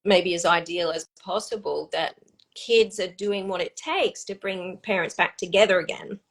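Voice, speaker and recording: A woman with an Australian accent, a family psychologist explaining children's behaviour in a relaxed interview, slipping into quick voices of parents and kids. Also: fairly low pitch, poor audio. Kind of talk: conversational interview on a livestream. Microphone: video call.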